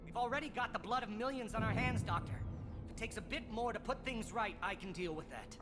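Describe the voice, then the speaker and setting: A man's voice: high-pitched, expressive, brisk. A man voicing a bitter, zealous alien scientist in a video game, articulate and accusatory, a disillusioned former student. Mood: bitter, defiant. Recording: game dialogue over background music.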